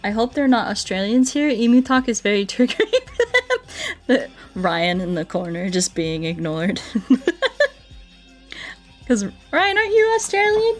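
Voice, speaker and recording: A woman livestreaming a video game, chatting casually with viewers in a giggly, playful, mock-earnest way. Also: fairly high pitch. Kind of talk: casual chat with viewers while playing.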